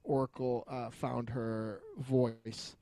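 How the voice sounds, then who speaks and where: Man, gravelly creak, mid-range pitch, casual rambling podcast chat, jokey and foul-mouthed, close dry sound. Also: level tone, slow pace, warm tone, no echo. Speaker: podcast co-host.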